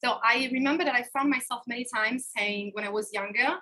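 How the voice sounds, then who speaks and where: Woman life coach in her 30s, fairly high, bright tone, open and expressive, webcam in a hotel room. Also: brisk, low-quality recording. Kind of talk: live online workshop talk.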